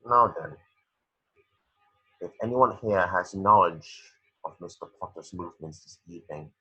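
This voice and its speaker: A man playing a cold, menacing, formal wizard headmaster in a screenplay table read, with raspy, deliberate speech. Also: sits low, pushed and bright, dull audio, full character voice.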